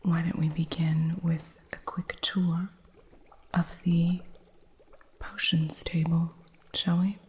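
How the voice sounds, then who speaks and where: A woman voicing a stern, impatient witch who runs a curio shop, in a soft whispered ASMR roleplay with a strict, haughty manner. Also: lowish voice, level tone, slight background noise. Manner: strict, haughty, slow and deliberate.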